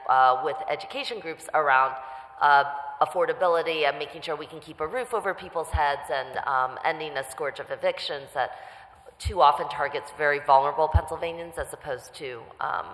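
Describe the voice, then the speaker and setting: A councilwoman speaking at a public hearing, measured, with frequent fillers. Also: rumbling low, fairly bright tone. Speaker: city councilwoman. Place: city council hearing room. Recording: echoey council chamber with long reverb.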